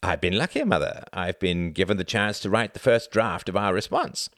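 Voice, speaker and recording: A man playing a smug, resentful grown son in an audiobook, speaking in a dry, deliberate, self-important way. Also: sits low, expressive, a bit bright, no echo, voice pushed up. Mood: attempted dryness.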